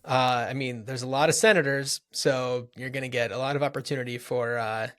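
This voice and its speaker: A man's voice: medium pitch, steady tone, fairly quick, a bit bright. A man co-hosting a podcast, discussing movies casually and thinking aloud in a loose, conversational way. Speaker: podcast co-host.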